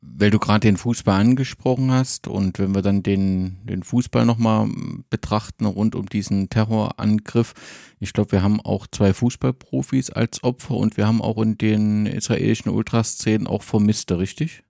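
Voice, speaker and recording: Give me a low-voiced gravelly man hosting a podcast, asking measured questions. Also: fairly even intonation, warm tone, no room sound, studio quality. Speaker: podcast host. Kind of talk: interview questions.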